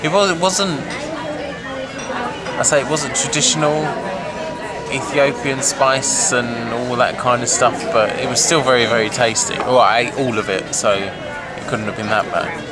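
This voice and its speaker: A man vlogging a casual food review, explaining dishes in a relaxed, halting way with many fillers. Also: mid-range voice. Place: busy restaurant. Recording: loud crowd chatter behind. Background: restaurant crowd chatter.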